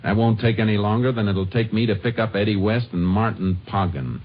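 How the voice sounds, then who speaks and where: A man acting and narrating as a calm, shrewd Texas Ranger in a radio crime drama, clipped and matter-of-fact. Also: lowish voice, fairly quick, lightly acted. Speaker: lead actor and narrator. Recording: dull old radio recording with music.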